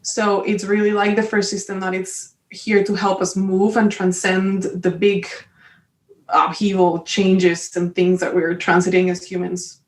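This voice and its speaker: A woman's voice: mid-range voice, little pitch movement, measured pace. A woman with a Colombian Spanish accent, a native Spanish speaker, chatting enthusiastically as a podcast guest and laughing often. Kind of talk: casual interview chat. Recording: echoey room, far from mic.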